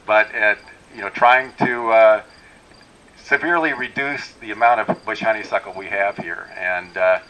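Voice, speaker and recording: A middle-aged man from Wisconsin, a tree farm owner, giving an unhurried, plainspoken welcome talk to a tour group outdoors, with many fillers and restarts. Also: on the low side, bright, forceful tone, low-quality recording. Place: outdoors in a forest clearing. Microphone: handheld microphone.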